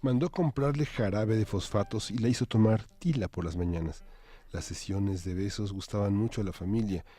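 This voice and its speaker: A man's voice: on the low side, normal speed, mellow. A man reading a story aloud expressively, with theatrical phrasing.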